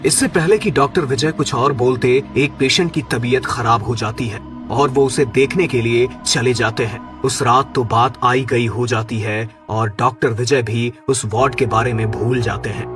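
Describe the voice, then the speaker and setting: A man's voice: medium pitch, moderate pace, few pauses. A man reading a scripted horror story as narrator, with music behind him. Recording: music under the voice. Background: background music.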